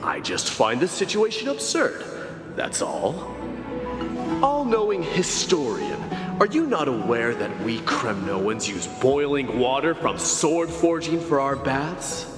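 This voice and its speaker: An actor playing a proud, gruff crown prince in a video game, haughty, curt and formal in manner. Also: male voice, medium pitch, sing-song, takes it slowly. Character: proud, gruff warrior crown prince, disdainful but loyal. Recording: echoey reverberant hall, music behind.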